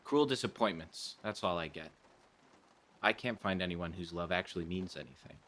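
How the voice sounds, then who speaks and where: A man's voice: low voice, moderate pace, fairly bright tone. An actor playing a despondent, brooding 1930s policeman in a radio play, gloomy and self-pitying. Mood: bitter, despairing.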